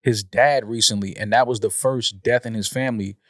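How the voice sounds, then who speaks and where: A man's voice: fairly low pitch, steady tone, brisk, fairly bright tone, no echo, studio quality. An American man around forty, hosting a casual podcast discussion. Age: 30s or 40s. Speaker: podcast host.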